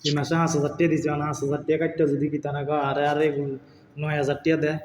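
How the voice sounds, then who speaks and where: Rapid-talking middle-aged man. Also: fairly high pitch, flat delivery, darkish tone, a little hiss or hum. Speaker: father on a phone call. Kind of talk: casual family phone chat. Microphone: phone. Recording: echoey, muffled phone call.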